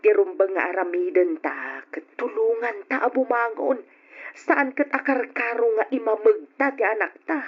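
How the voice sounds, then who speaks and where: Gentle, reasoning mother. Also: on the high side, moderate pace, slightly rough. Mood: soothing. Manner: soothing. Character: patient mother calming her husband. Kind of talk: old radio drama acting. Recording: dull old radio recording.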